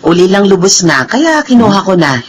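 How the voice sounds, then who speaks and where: A woman's voice: mid-range voice, big pitch swings, normal speed, husky. A woman playing a motherly middle-aged aunt in a radio drama, chatty and fussy. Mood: warm.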